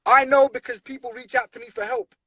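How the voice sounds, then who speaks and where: A man's voice: extremely high pitch, sing-song, fast, gravelly, sharp tone, no echo. Earnest, blunt man. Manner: earnest, blunt, repeats himself. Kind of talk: casual conversation.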